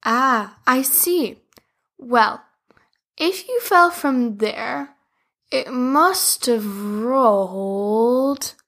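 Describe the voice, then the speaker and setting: A woman's voice: high voice, extremely slow, a bit bright, exaggerated voice. A woman voicing a kind, helpful young girl in a storybook, speaking cheerfully and eagerly.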